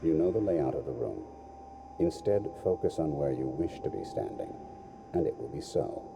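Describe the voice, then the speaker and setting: An actor playing a stern, measured assassin instructor in a video game, with a gravelly voice and formal, commanding delivery. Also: male voice, big pitch swings, noisy background, exaggerated voice. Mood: instructive, stern. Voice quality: gravelly, very deep. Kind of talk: scripted game dialogue. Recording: game dialogue under music.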